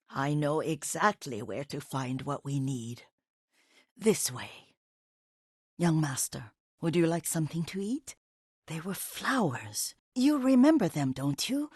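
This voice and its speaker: An elderly Japanese woman, a devoted family caretaker in a dramatic video game. Her soft, husky voice moves slowly and gently, deferential and steeped in nostalgia. The recording is close and dry. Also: low-pitched, no room sound, full character voice. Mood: quietly grave, then fond, nostalgic. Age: older. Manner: gentle, deferential, unhurried, reminiscing.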